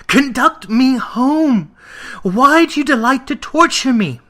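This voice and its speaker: A narrator voicing an agitated old miser who cries out in anguished, loud pleading in a classic storybook. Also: high-pitched, expressive, slow pace, voice pushed up, full character voice.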